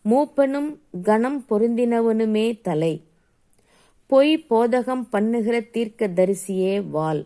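A woman reading Bible verses aloud solemnly, phrase by phrase, in a measured and deliberate manner. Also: mid-range voice, warm tone. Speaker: scripture reader.

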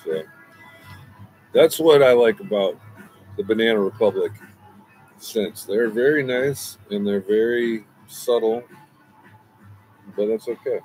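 A man in his forties talks through a loose, rambling review, circling back on himself with asides and restarts. His voice sits low. He is recorded outdoors on a close webcam, with faint music underneath. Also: slow pace, long pauses, low-quality recording. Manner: relaxed, meandering, many restarts and asides. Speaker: fragrance reviewer. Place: outdoors by a brick wall, smoking.

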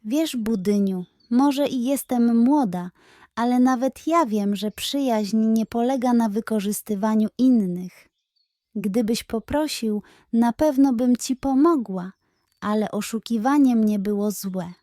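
Woman as a young girl pig, storybook, earnest, quietly crafty, raised pitch, unhurried. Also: no echo, studio quality, lightly acted. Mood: reproachful. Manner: measured, earnest, gently reproachful. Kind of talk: children's storybook character voice.

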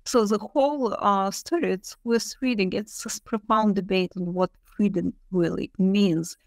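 A woman's voice: medium pitch, a little slow, dry recording. A woman speaking unscripted as an interviewed academic, thoughtful and emphatic, repeating key phrases as she explains. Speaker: interviewed literature professor.

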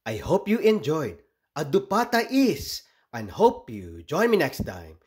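Man, higher-pitched voice, slow storybook read-aloud, deliberate pauses, expressive host for children. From Philippines. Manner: deliberate pauses between short phrases.

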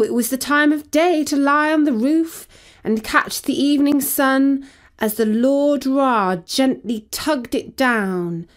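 A woman voicing a proud, vain magical cat narrating a children's story, with a haughty, dramatic manner. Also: fairly high pitch. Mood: moody, proud.

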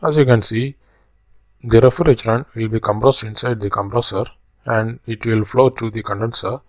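A man narrating a slide lecture as a technical instructor, explaining step by step in halting phrases. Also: on the low side, steady tone, no room sound.

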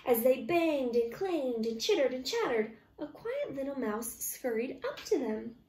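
A young woman teacher reading a children's storybook aloud in an expressive, deliberate way. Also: sits high. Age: young adult. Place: small living room at home, indoors. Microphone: camera a short distance away. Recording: roomy, echoey, distant microphone.

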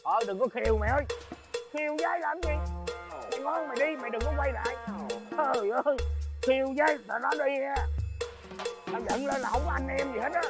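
A man's voice: very high-pitched, fairly quick, long pauses, over loud music. A man playing a blustering bandit boss. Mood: defiant. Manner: blustering, then panicky stammering shrieks. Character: boastful cowardly male bandit boss, hot-tempered. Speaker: comedy sketch actor. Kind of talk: comic sketch acting.

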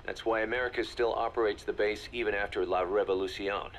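Low, blunt military second-in-command. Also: male voice, steady tone, brisk, fairly bright tone, slight background noise. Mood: urgent, serious. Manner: blunt, colloquial, swearing. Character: brash, hard-nosed male military second-in-command. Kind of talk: game voice acting, briefing dialogue.

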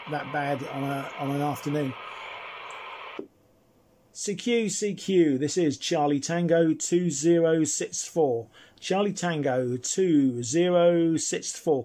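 A man chatting casually and at some length as he demonstrates a CB radio, in a friendly, slightly husky voice. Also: fairly high pitch, unhurried, noisy background. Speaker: CB radio reviewer and operator. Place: indoors at a home radio desk.

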